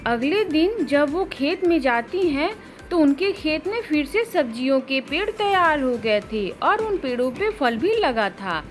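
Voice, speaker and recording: High, lively woman storyteller with music. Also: unhurried. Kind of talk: scripted children's story narration in Hindi cartoon.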